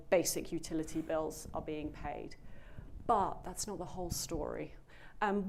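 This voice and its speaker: A woman with a fairly low voice speaks to a room full of people, introducing a panel discussion. She talks earnestly and with emphasis, often pausing on fillers like "um" and "you know.". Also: darkish tone. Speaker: panel moderator, program founder. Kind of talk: panel introduction to a live audience. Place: event room with an audience.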